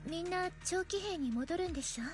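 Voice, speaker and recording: A woman's voice: extremely high pitch, lively intonation, very fast. A woman voicing an earnest, confiding young woman in an anime game. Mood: matter-of-fact. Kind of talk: Japanese game voice acting. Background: game music.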